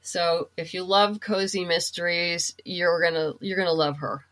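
A woman with a fairly low voice chats as a guest in a casual interview. She talks freely and informally, pausing and restarting as she gathers her thoughts. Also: fairly even intonation, fairly bright tone, low-quality recording. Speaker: guest, comic book writer and novelist. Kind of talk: casual interview chat, giving reading recommendations.

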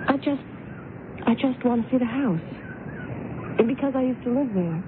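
Actress as innocent young woman, radio play: high voice, sweet, naive, demure, on a noisy narrow-band recording. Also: animated, moderate pace, natural voice. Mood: wistful. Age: young adult. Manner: demure, naive, brief replies. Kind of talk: radio drama acting.

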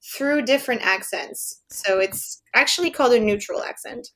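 A woman's voice: fairly high pitch, moderate pace, rough recording. A woman from France with a French accent, a podcast guest, speaking earnestly with some hesitation. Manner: earnest, warm-hearted, hesitant. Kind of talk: casual chat.

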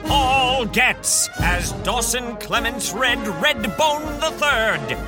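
Animated high-pitched man announcing. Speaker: announcer reading credits. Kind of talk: scripted 1930s radio announcing. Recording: music behind the voice.

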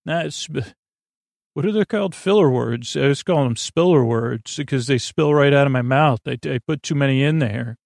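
A man hosting a bedtime podcast, rambling in a halting, meandering way and often trailing off mid-thought. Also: mid-range voice, a little mellow, dry recording, clean studio sound. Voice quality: creaky, gravelly. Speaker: podcast host.

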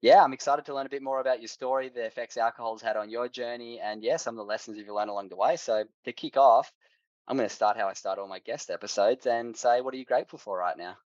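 A man's voice: medium pitch, fast, a little mellow, no echo, dull audio. A man hosting a podcast interview, asking friendly, enthusiastic, rambling questions. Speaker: podcast host.

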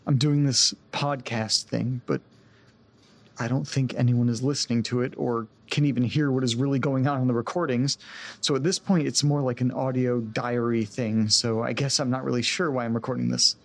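An actor playing a dazed, anxious, apologetic man in a naturalistic audio drama, speaking haltingly in a husky, creaky voice. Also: mid-range voice, steady tone. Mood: sheepish, weary. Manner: halting, rambling, sighing.

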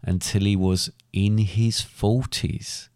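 A man hosting a casual podcast quiz, reading questions out slowly and deliberately while losing his voice and clearing his throat. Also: fairly low pitch, steady tone, a little mellow, dry recording, clean studio sound. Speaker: podcast co-host running a quiz.

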